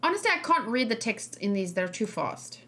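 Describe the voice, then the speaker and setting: A woman's voice: on the high side, sing-song, fairly quick, sharp tone, soft background music. Casual female streamer, English speaker. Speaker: streamer reacting to game trailers. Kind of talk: casual livestream chat.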